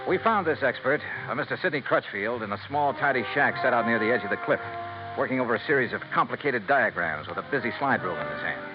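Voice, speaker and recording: A man's voice: medium pitch, expressive, fast, natural voice. An actor with an American accent playing a dogged, wry male investigator in a 1950s radio detective drama. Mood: measured, intent. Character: male insurance investigator, dogged, wry, narrating his case. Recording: muffled vintage radio recording, music behind.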